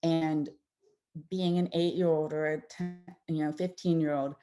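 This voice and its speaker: A woman's voice: sits low, little pitch movement, a little slow, dark tone. A woman audiologist giving a conversational presentation to parents, explaining with everyday examples and frequent fillers and restarts. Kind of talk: informal presentation with slides.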